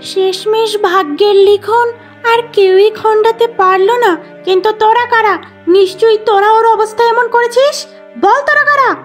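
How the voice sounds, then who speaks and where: A woman's voice: very high voice, moderate pace, faint music behind, pitched above the performer's own voice. A woman voicing a sorrowful, repentant witch who pleads in a cartoon fairy tale. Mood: grieving, accusing.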